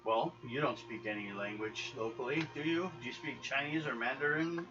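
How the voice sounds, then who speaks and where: A man running a tabletop game as game master, narrating and chatting casually in a halting, rambling way. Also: medium pitch, distant mic, over music. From United States. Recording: dull lo-fi live recording with hiss.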